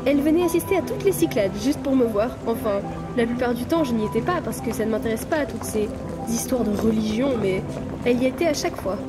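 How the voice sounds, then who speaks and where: An actress plays a breezy woman from an underground circle in an audio drama. Her voice is high and she talks with playful, teasing familiarity. Loud music runs underneath. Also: lively intonation, fast, natural voice. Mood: cheeky, playful. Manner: familiar, cheeky, playful chatter. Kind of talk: French audio drama acting.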